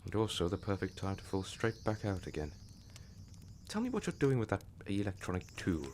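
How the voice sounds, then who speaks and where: Scatterbrained, haunted gentleman, male actor for audio drama. Fussy, hesitant, wry, with very animated intonation. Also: deep voice, fairly quick, a little hiss or hum.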